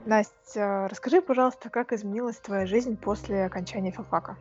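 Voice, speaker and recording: A woman's voice: medium pitch, brisk, a little mellow, dull audio. A woman interviewing a guest in a casual conversation, asking friendly, prompting questions.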